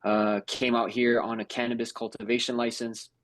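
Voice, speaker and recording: A man in his thirties from Brazil, raised in New York, a native Portuguese speaker chatting in a relaxed, rambling way as a guest on a podcast. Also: mid-range voice, moderate pace. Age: 30s. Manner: laid-back, rambling, lots of fillers and "bro", "man". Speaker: guest, house flipper. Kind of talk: casual podcast chat.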